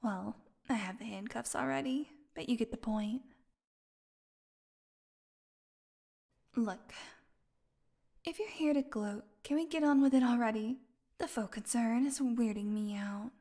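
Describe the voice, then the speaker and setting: A woman playing a starved, flirty, sardonic captive vampire in an intimate audio roleplay, teasing and slipping into breathy whispers. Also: on the high side, normal speed, dark tone. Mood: sardonic, wry. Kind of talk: audio roleplay acting, intimate one-sided dialogue.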